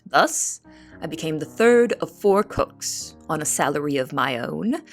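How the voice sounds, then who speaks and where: A woman playing an earnest young female cook in an audio drama, speaking in a formal, measured, articulate way. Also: sits low, animated. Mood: wistful, uneasy. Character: Anne Marie, earnest young female cook writing testimony. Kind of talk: audio drama, formal letter narration and dialogue. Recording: music bed under the voice. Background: instrumental music.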